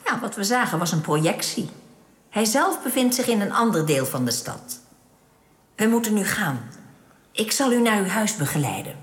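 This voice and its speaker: A composed female alien guide, played by an actress in a science fiction radio play. She has a mid-pitched voice with very varied intonation, explaining rules formally and firmly. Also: sing-song, moderate pace, close to an everyday voice. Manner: formal, explanatory, matter-of-fact. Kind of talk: radio drama acting.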